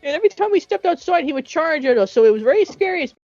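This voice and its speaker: A young Irish woman with a high voice tells stories in an excitable, sweary ramble. The sound is dry and a little muffled, with faint music behind her. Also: very fast, no room sound. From rural Ireland. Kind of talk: casual chat, storytelling with another woman. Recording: dull lo-fi recording, music behind.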